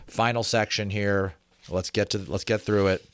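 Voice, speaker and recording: A man doing a dramatic, deliberate audiobook reading, mixed with casual, chatty asides to his listeners. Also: lowish voice, flat delivery, clean tone, dry recording. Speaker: reader and presenter of a book reading channel.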